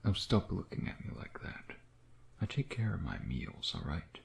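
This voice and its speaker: A man playing a smug, flirtatious vampire who dotes on his prey in an intimate ASMR roleplay, teasing and murmuring with breathy asides. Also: sits low, wildly swooping pitch, normal speed, heavily acted. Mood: attentive, teasing.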